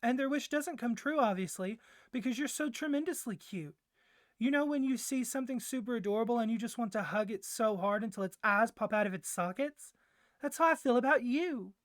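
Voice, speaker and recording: A woman voicing a doting, clingy young yandere boyfriend kidnapper in a soft ASMR roleplay, gushing and coaxing, with flustered hesitations. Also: a little high, animated, a little fast, no echo, clean studio sound, natural voice. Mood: adoring, firm.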